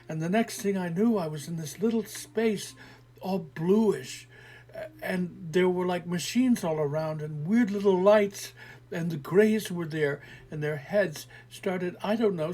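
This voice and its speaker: Guy doing a scared teen girl voice, keeps insisting, pleading, swears when nobody believes her, higher pitch. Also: warm tone, poor audio. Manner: pleading, defensive, swearing in frustration. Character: frightened insistent teenage girl claiming abduction. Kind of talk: audio short story reading.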